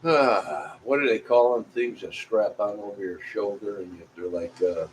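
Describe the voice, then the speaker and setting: Low-voiced Midwestern man over background noise. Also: moderate pace, poor audio. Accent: American Midwestern. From Illinois, United States. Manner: folksy, meandering. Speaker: vlogger. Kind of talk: rambling casual chat to viewers.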